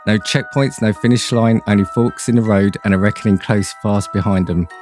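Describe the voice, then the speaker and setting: A man playing an ominous, portentous movie trailer narrator reading a scripted pitch. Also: steady tone, a little fast, darkish tone, soft background music, lightly acted. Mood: brooding, ominous.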